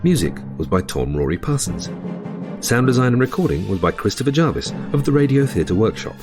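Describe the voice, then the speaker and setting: A man's voice: medium pitch, sing-song, brisk. A man reading scripted end credits as an announcer for a radio play. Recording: loud music bed.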